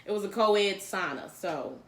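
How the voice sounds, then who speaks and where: Animated woman co-host in an echoey room. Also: a little slow, over a noisy crowd. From Chicago, south side. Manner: animated, acts out quoted voices with big pitch jumps. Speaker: podcast co-host. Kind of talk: casual storytelling chat. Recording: roomy echo with faint background noise.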